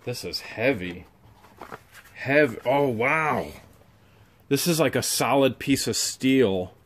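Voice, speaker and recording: A man's voice: medium pitch, animated, slow pace, a bit bright, slight background noise. A man hosting an unboxing vlog, chatting casually and exclaiming over each find, recorded on a handheld camera in a garage. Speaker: vlog host unboxing storage finds.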